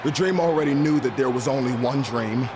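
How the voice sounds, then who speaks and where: An actor playing an arrogant, flamboyant wrestler in a video game, talking grandly of himself in the third person. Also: male voice, mid-range voice, fairly quick, lightly acted. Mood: smug, accusing. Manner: theatrical, speaks of self in third person. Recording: loud crowd noise, arena.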